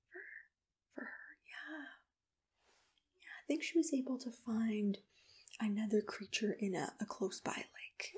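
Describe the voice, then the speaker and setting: Asmr lady whispering questions up close, super breathy, a bit high pitched, soft and gentle. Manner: soft-spoken, gentle, attentive personal tone. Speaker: ASMR roleplayer playing a monster matchmaker. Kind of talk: ASMR roleplay, interview questions. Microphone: close-up ASMR microphone. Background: computer keyboard typing.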